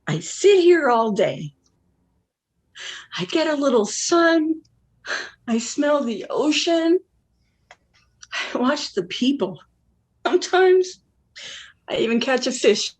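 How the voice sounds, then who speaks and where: A woman's voice: sits high, big pitch swings, slow, long pauses, exaggerated voice. An actress playing a weary, worldly wife and mother in a stage play, wistful yet blunt. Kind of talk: dramatic monologue in a stage play.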